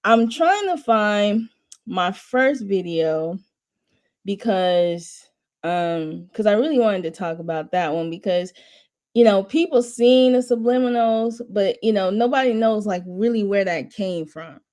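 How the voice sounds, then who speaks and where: A woman hosting a watch party in casual chat, rambling and restarting, her voice mostly monotone but jumping high at times. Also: a little slow. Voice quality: says her voice runs monotone then jumps high. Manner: rambling, restarting often, laughing between thoughts. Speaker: host of a watch party stream.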